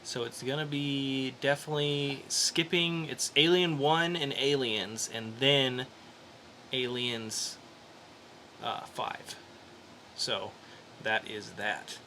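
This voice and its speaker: Male podcast co-host, mid-pitched and bright, casual geek news chat with a halting, conversational flow. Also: slight background noise.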